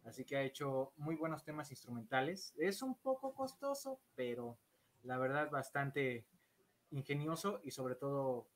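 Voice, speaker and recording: A man's voice: medium pitch, animated, measured pace. A man hosting a casual livestream interview, chatty and joking in his manner. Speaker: interview host.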